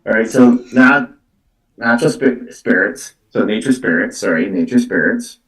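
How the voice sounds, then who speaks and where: A man giving a live spiritual card reading as a psychic medium, speaking in halting, repetitive phrases. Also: lowish voice, animated, a bit bright, far from the mic. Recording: roomy, echoey, away from the mic.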